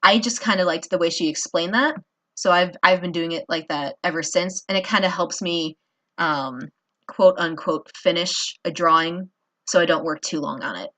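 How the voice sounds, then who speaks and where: A woman's voice: on the low side, moderate pace, bright, forceful tone. A tired woman hosting a casual drawing tutorial, rambling with many fillers and apologizing as she works. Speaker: art tutorial host.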